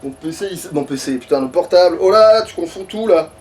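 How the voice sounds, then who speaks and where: A man's voice: a little high, lively intonation, brisk, darkish tone. A man chatting casually as he plays a video game, grumbling and swearing at it. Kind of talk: casual gaming chat with commentary on own play. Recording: distant mic with background noise.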